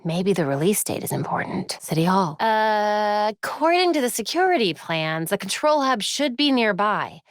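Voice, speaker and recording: A woman's voice: medium pitch, big pitch swings, moderate pace, few pauses, no echo, clean studio sound, natural voice. A woman playing a young female superhero in a video game, witty, determined and brainy, with a wry conversational delivery. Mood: focused, investigative.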